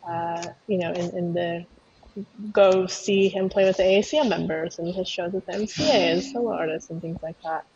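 A woman speaking as a guest on a video call, thoughtful and hesitant, pausing often with ums as she explains. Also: lowish voice. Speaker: guest, taiko performer. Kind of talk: casual interview talk. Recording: muffled video-call audio, faint noise.

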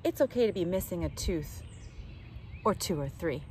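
Animated woman reading to children outdoors. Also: lowish voice, moderate pace. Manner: animated, addressing young children directly. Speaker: children's storytime reader. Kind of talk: expressive picture-book read-aloud for children. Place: outdoors in leafy woods. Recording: outdoor ambient noise.

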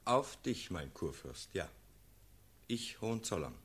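A steadfast nobleman officer in a classical radio drama. His voice is fairly low and husky, and he declaims verse in an earnest, measured way. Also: sing-song, a bit bright, full character voice. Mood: firm. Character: earnest, steadfast officer count pleading before his sovereign. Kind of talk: classical German radio play acting in verse.

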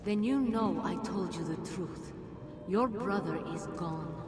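An actress playing an ancient, composed and mysterious female jinn guide in a video game, speaking in a measured, formal way. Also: lowish voice, over loud music, natural voice. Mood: grave, firm. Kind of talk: video game cutscene voice acting.

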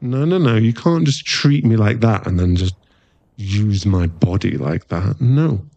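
Teasing, mock-offended boyfriend, acted by a man for intimate audio roleplay; mellow low voice, slightly muffled close recording. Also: expressive, creaky, no echo, heavily acted. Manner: teasing, halting, intimate one-sided chat.

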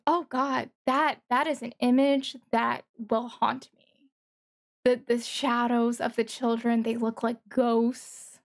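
Woman streamer doing casual reaction commentary, slightly high voice, slow and halting, thinks out loud. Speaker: streamer reacting to a game trailer.

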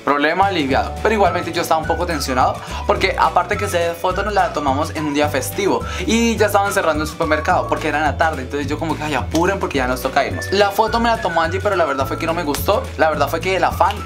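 A man's voice: on the high side, expressive, quick pace, music in the background. A teenage boy from Colombia with a Colombian accent, chatting to camera in his bedroom and telling a rambling story with many fillers. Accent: Colombian Spanish. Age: teens. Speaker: YouTuber host. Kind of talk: casual vlog chat to camera. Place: bedroom, indoors.

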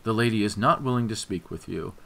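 A man voicing a calm, formal young man who speaks stiffly and coldly. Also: fairly low pitch, fairly quick, gravelly. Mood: firm.

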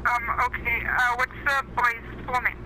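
A woman's voice: a little high, flat delivery, moderate pace, sharp tone. Polite woman on a noisy phone line. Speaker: truck stop employee taking a call. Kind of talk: phone conversation with a caller.

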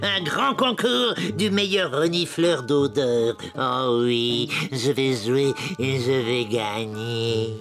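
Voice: medium pitch, slow, hardly any pauses, fairly bright tone. An actor playing a sly, boastful goblin prankster in a French children's cartoon, theatrical and gloating. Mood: gleeful scheming. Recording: music under the voice.

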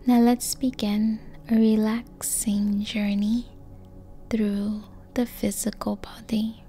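Soft whispery lady doing yoga nidra, warm relaxed tone, super calm, faint music behind her. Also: mid-range voice. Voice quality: soft, breathy, near-whispered. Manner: calm, gentle, long pauses between short phrases. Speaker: yoga nidra meditation guide. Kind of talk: slow guided sleep meditation.

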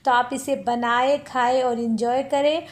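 A woman's voice: a little high, steady tone, unhurried. A woman hosting a cooking channel, chatting step by step through a recipe in a home kitchen and calling her viewers friends.

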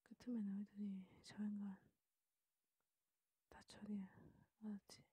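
Woman acting scheming vampire, conspiratorial ASMR storytelling, very breathy whisper, dry close sound. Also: medium pitch, level tone, mellow, no echo. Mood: hushed, conspiratorial. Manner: unhurried, repetitive, conspiratorial. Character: cautious, scheming vampire covering for companions.

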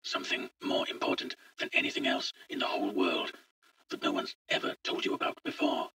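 A man playing an eager, bewildered middle-aged visitor from the future, speaking in a hushed, halting way in a story. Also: fairly low pitch, huge pitch swings, dry recording, recorded from a distance, voice pushed up, exaggerated voice. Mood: hushed, pleading. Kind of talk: audio short story dialogue.

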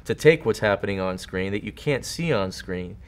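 A man's voice: fairly low pitch, expressive, normal speed. A man in his thirties explaining his thoughts earnestly and at length in a panel interview. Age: 30s. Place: indoor studio set.

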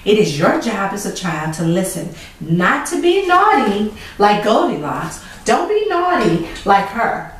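A woman's voice: mid-range voice, sing-song, measured pace, few pauses. A middle-aged woman hosting children's storytime, telling stories and moral lessons emphatically and putting questions to the listener. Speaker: storytime host. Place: small indoor room with chalkboard set. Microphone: camera mic a few feet away. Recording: echoey room, distant camera mic, faint noise.

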